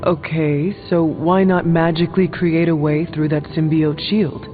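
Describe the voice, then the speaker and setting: A man playing an earnest, curious hero in a video game, speaking in polite, measured, formal phrases. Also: a little high, flat delivery, natural voice. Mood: earnest, probing. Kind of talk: video game dialogue acting. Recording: muffled narrow-band game audio, music behind.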